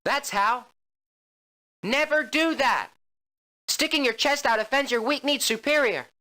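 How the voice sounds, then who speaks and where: Brief: officious drill instructor, high bright barking delivery. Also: female voice, measured pace. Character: stiff, gossipy army drill instructor.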